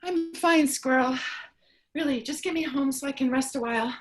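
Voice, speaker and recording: A woman playing an earnest, excitable apocalypse survivor in a staged play reading, gushing and expressive. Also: high voice, moderate pace, lightly acted. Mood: determined.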